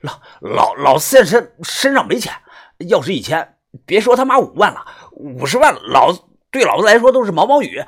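A man voicing a panicked, foul-mouthed middle-aged fugitive with a Northeastern accent who stammers, pleads and shouts. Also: sits high, sing-song, moderate pace, slightly rough, pitched above the performer's own voice, exaggerated voice. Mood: stammering, wheedling. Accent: Northeastern Chinese.

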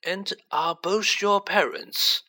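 A feeble, kindly old wizard headmaster from a storybook. The voice sits high and wavers, taking its time with slow, sighing phrases. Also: male voice, sharp tone, exaggerated voice. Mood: kindly, curious.

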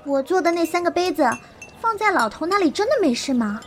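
Fierce, naive girl disciple for a martial-arts radio play, female actor: tiny, very high voice, blunt and proud. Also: big pitch swings, fairly quick, soft background music. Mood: naive, curious. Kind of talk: Chinese wuxia audio drama acting.

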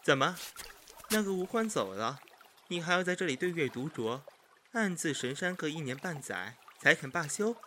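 A man playing a haughty, jealous young gentleman of ancient China in a period audio drama, with mocking, theatrical emphasis. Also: fairly high pitch, sing-song, normal speed, slight background noise. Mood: exasperated, scornful.

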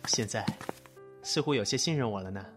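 A man playing an easygoing young male designer who also counsels, speaking softly with gentle teasing in an audio drama. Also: lowish voice, expressive, a little fast, music in the background, close to an everyday voice. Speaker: lead character.

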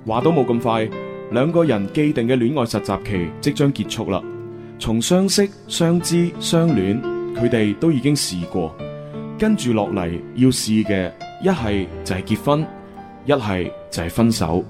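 A man narrates a scripted radio drama. His voice sits at a middle pitch and he tells it as a story. Plucked guitar music plays clearly behind him. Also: animated, normal speed.

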